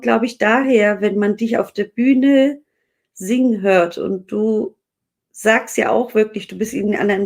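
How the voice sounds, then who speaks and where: Chatty higher-voiced woman host. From Dersim, Turkey. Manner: warm, chatty, with many fillers and restarts. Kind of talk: casual live interview in German.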